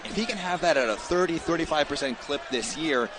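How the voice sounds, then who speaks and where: A man's voice: a little high, animated, a little fast. A man giving live basketball analysis as a colour commentator, in a conversational, analytical way. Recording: loud arena crowd noise behind. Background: crowd and basketball bounce.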